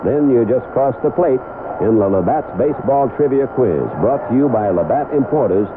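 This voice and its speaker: A man's voice: mid-range voice, animated, moderate pace. A North American man reading a scripted radio advert and trivia quiz as an announcer. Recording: noisy tinny vintage 1970s radio broadcast.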